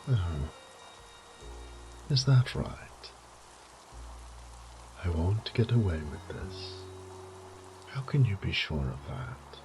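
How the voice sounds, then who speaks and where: A man playing a sadistic, condescending vampire lord in a roleplay audio drama, theatrical and taunting, with breathy whispered phrases. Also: deep voice, sing-song, slow pace, long pauses, over music, exaggerated voice. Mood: mocking, menacing.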